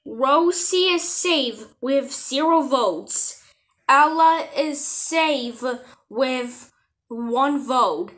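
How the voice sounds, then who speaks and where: High bright woman voicing amateur cartoon dialogue. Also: expressive, drawn-out words.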